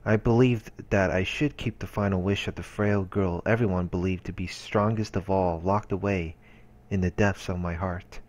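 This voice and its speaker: A man with a deep voice reads first-person narration from a visual novel. He is hosting a playthrough and reading the text aloud. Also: fairly even intonation, normal speed, darkish tone.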